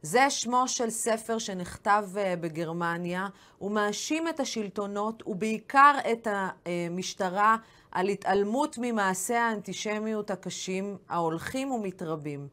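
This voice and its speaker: A woman with an Israeli accent and Hebrew as her mother tongue, hosting a news interview with halting pauses mid-sentence. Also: medium pitch, expressive, sharp tone. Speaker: interviewer, show host.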